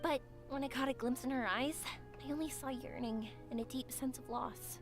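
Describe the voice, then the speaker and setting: An actress voicing a cheerful, talkative young woman in a game, speaking in a bright, encouraging way. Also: sits high, moderate pace, over music. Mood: thoughtful. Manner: bright, encouraging, sing-song. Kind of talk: game voice acting.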